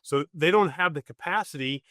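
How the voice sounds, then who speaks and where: A man appearing as an accountant guest on a podcast, blunt and plainspoken, with some halting restarts. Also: fairly high pitch, sharp tone, no echo, studio quality. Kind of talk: podcast interview.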